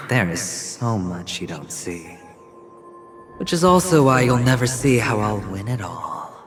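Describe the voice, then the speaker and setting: A cool sly young gambler in a game, slow and wry, reverb and music behind. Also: male voice, mid-range voice, lively intonation, a bit bright. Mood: calm defiance. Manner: measured, wry, understated confidence. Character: cool, sly young male gambler, guarded and self-assured. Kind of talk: video game cutscene acting.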